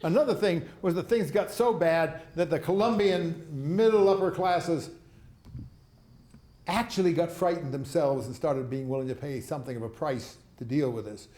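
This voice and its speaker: An older man delivers a loose, rambling lecture on policy, laughing softly at his own stories. His voice sits a little high for a man. The sound is roomy, like a hall. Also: normal speed. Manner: rambling, chuckling asides. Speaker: speaker on foreign policy. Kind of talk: lecture answering audience questions.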